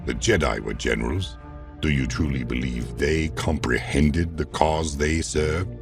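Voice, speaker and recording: Man as grave, rumbling war general. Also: over music. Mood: weary, reproachful. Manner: measured, grave, condescending. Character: calm, weary separatist war general, reproachful ex-enemy. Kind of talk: dramatic science fiction character acting.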